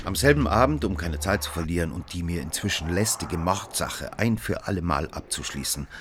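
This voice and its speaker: A man's voice: sits low, lively intonation, a little fast, heavy background noise. An actor playing an investigating magistrate who questions and narrates in a radio play, pressing, condescending and literary in his wording. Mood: sober narration.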